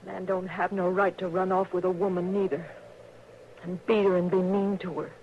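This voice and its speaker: An actress playing a grim, resolute frontier woman in a 1950s radio drama, speaking flatly. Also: lowish voice, moderate pace, slight background noise, close to an everyday voice. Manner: flat and quietly determined. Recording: dull old radio recording.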